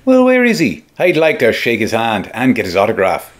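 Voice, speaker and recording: An actor playing a cocky, hearty police sergeant with an Irish accent in an old-time radio drama. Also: male voice, medium pitch, huge pitch swings, normal speed. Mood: cocky, curious.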